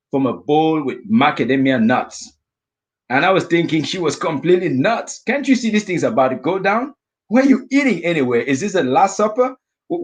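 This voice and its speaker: A middle-aged man from Africa telling a vivid personal story as a guest speaker, acting out his own inner thoughts in lively asides. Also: on the high side. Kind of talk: storytelling talk.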